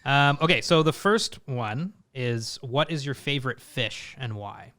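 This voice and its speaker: A man's voice: mid-range voice, lively intonation, measured pace, a bit bright. A man hosting a casual fishing podcast, reacting enthusiastically and asking questions. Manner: enthusiastic, frequent "amazing" reactions. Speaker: podcast host. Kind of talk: casual chat.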